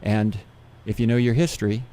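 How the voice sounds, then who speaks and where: A man who gives seminars, guesting on a radio interview, explaining things in a steady, methodical way. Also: on the low side, moderate pace. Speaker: seminar speaker.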